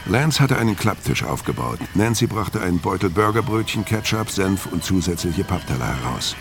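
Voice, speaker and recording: Brooding killer narrator, very deep voice. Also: male voice, very animated, moderate pace, music in the background. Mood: brooding, matter-of-fact. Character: brooding hard-drinking male fugitive killer narrating his story. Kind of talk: scripted first-person crime radio play narration.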